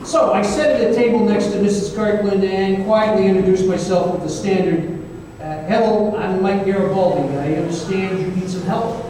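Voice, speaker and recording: A man's voice: sits high, normal speed, warm tone, close to an everyday voice. A man playing a wry, world-weary private eye, telling his case in old-time radio style with a folksy, hesitant delivery. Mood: wry, narrating. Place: live stage performance in an echoey room. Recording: echoey hall, distant mic, faint noise.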